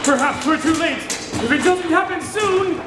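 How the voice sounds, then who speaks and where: A man's voice: very high-pitched, measured pace, over music. A man playing a desperate, melodramatic scientist father on a doomed alien planet in a staged old-time radio serial. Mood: despairing. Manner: melodramatic, urgent exclamations. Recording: echoey noisy convention hall sound.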